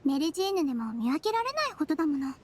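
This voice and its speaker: Tiny, very high-voiced fairy-like nurse. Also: female voice, very animated, rapid-fire. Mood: calm, knowing. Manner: gentle, matter-of-fact, cute. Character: small, composed, kindly female nonhuman head nurse. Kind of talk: Japanese anime-style game voice acting.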